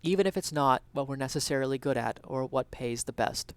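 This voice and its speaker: A man reading an essay aloud as a dramatic reading, with earnest, theatrical, deliberate phrasing, recorded close to the mic. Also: mid-range voice, clear, resonant tone, no room sound. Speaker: reader of an essay.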